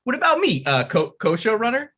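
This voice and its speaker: Brief: male actor as an insecure young writer, husky voice, lively and pleading. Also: sits high, brisk, exaggerated voice. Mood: hopeful. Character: needy, self-deprecating male screenwriter, young adult. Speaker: actor reading the lead at a table read. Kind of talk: comedic table read.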